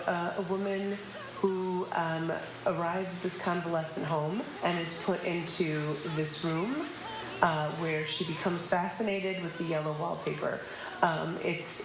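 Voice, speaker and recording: A woman explaining informally in an interview, reflective and hesitant, with halting phrasing. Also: lowish voice, noisy background. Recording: roomy echo under loud music.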